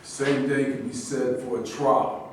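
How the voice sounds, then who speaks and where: A man plays a mocking skeptic in a stage drama, pitched in the middle. He is far from the microphone. Faint noise sits under the echoing voice. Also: flat delivery, a little slow, close to an everyday voice. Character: sardonic, needling skeptic and accuser. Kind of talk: staged reading of a play. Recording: distant echoey room, faint noise.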